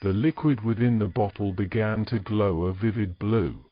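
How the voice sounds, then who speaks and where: A man's voice: on the low side, moderate pace, highs cut off, soft background music. A man narrating a scripted fantasy story in a dramatic storytelling style, close on the microphone.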